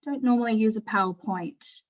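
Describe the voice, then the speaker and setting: A woman's voice: fairly high pitch, moderate pace. Middle-aged Australian woman, conversational. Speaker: panel speaker at an online forum. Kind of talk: informal personal presentation. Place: online video call.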